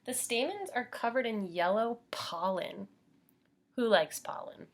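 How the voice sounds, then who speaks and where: An American woman reads a children's book in the manner of a preschool teacher. Her voice is a little husky and moves expressively up and down in pitch. She takes her time, explaining things simply and asking questions of young listeners. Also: lively intonation, unhurried. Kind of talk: children's read-aloud with teaching asides.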